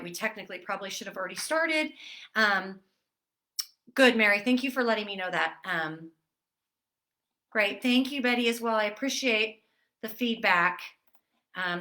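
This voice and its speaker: A woman fitness instructor leading a seated online exercise class, chatty with fillers, then giving calm breathing cues. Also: medium pitch. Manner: chatty and apologetic, with many fillers. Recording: roomy echo, distant from mic.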